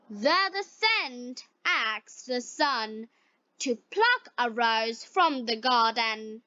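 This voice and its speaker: Very slow, high-pitched woman narrator. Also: pushed and bright, dry recording, highs cut off. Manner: deliberate, short separated phrases. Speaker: narrator of stories for English learners. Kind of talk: slow scripted story narration for beginners.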